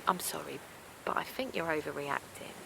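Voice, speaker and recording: A woman's voice: low-pitched, moderate pace, vocal fry, noisy background. An actress playing a naive, kindly middle-aged village woman in an audio drama, hesitant and defensive, with colloquial phrasing. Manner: hesitant, colloquial, protesting, repeats herself. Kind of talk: naturalistic audio drama acting.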